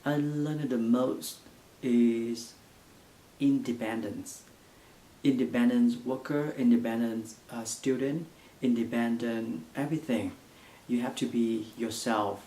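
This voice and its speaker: A young man from Vietnam with a Vietnamese accent, answering interview questions in halting English full of fillers. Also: medium pitch, fairly even intonation, dark tone. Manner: halting non-native English with frequent fillers. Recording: echoey room.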